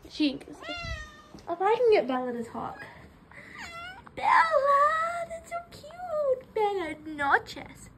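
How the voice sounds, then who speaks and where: A woman's voice: very high voice, slight background noise. A young woman giving a casual tour of her barn, chatty and giggly, cooing at her cats, recorded on a handheld phone indoors. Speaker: vlogger. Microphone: phone held in hand.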